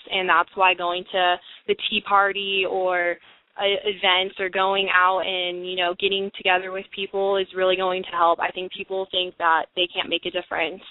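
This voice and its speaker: A woman's voice: lowish voice, no room sound. A young woman from California, a guest talking earnestly and chattily with lots of fillers in a casual phone interview. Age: young adult. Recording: tinny phone line.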